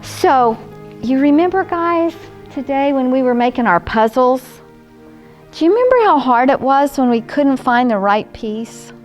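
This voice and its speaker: High-pitched, slow-talking older woman storyteller. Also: expressive, soft background music. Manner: grandmotherly, sing-song, coaxing, asks the child listeners questions. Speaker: children's storyteller and host.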